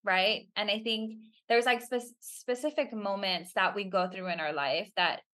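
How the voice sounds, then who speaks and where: A woman's voice: mid-range voice, unhurried, a bit bright. A woman whose first language is Spanish, a guest telling stories reflectively in a casual interview. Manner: reflective, storytelling.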